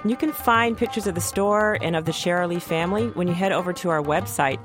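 A woman with a slightly low voice reads a radio update with a lively lift in her delivery. A clear music bed runs under her. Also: fairly quick. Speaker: radio host. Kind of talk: scripted radio narration.

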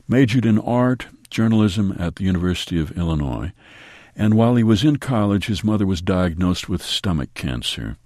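A man hosting a daily radio segment, reading birthdays and a poem in a slow, measured way with falling line ends. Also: low voice, darkish tone, no echo, clean studio sound. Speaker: radio host.

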